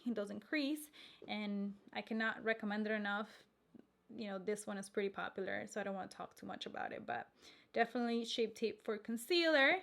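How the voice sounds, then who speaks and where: A woman's voice: fairly high pitch, a bit bright. A young woman from Colombia whose first language is Spanish, chatting enthusiastically in a casual product review vlog. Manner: chatty, enthusiastic, self-correcting. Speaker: beauty vlogger.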